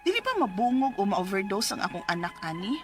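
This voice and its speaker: A woman's voice: medium pitch, very animated, a little fast, faint music behind, close to an everyday voice. An actress playing a worried middle-aged Cebuano mother, reading her letter of troubles in an anxious, questioning, emphatic way for a radio drama. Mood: anxious, pleading.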